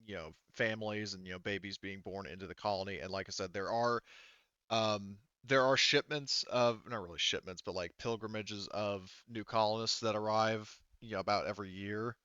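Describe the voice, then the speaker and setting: A man explaining rules as game master of a tabletop roleplaying game, haltingly, thinking aloud and correcting himself as he goes. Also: fairly low pitch, steady tone, bright, forceful tone, clean tone, no room sound. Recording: slightly muffled, band-limited voice audio.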